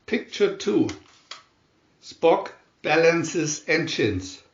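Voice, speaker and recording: Male narrator, slow and dramatic, lively swooping pitch, for picture-story booklet readings. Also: vocal fry. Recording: dull, echoey room recording.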